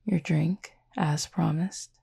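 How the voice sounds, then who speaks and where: A woman playing a gentle, formal cupid roommate in an intimate roleplay audio, speaking softly and breathily with measured, teasing politeness. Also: deep voice, fairly even intonation, heavily acted. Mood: tender, calm. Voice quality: soft, breathy, slightly husky. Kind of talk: intimate roleplay audio acting, addressed to listener.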